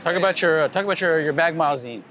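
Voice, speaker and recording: A man interviews someone casually out on the street, speaking quickly in a slightly high voice. Faint city traffic runs behind his questions. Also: a bit bright. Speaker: host interviewer. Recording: outdoor street recording with city traffic noise.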